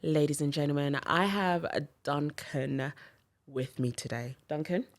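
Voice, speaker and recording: A Scottish woman with a notably low, deep voice hosts a casual podcast from a studio. She talks in an upbeat, friendly way and keeps her guest going with steady mm-hmms and yeahs. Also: measured pace. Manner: upbeat, affirming with frequent mm-hmms. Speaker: podcast host. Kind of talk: casual interview chat.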